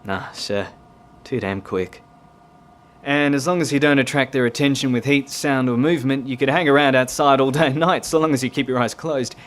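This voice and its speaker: A man's voice: medium pitch, moderate pace, close to an everyday voice. An Australian-accented man playing a courteous, grave navy commander in an audio drama, polite and plain-spoken.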